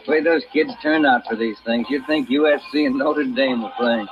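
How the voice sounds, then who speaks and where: A man's voice: medium pitch, fairly even intonation, moderate pace, over music, natural voice. A man playing a folksy, polite American police detective sidekick in a 1950s radio drama, with a conversational manner. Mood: wistful, chatty. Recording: muffled vintage radio recording, faint noise.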